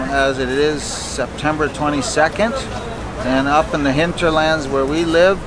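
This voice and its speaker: Bearded man explaining outdoors, loud traffic. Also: a little high. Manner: relaxed, explanatory, chatty. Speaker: farmer. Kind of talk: casual on-camera farm update. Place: outdoor city farmers market stall. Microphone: handheld camera close up. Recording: loud street noise outdoors. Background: traffic and market bustle.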